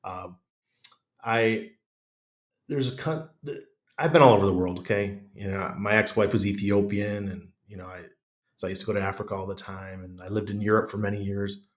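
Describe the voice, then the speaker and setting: A man's voice: fairly low pitch, fairly even intonation, normal speed. A middle-aged American man with chest congestion, chatting casually and bluntly as a podcast guest. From United States. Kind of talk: casual conversational interview.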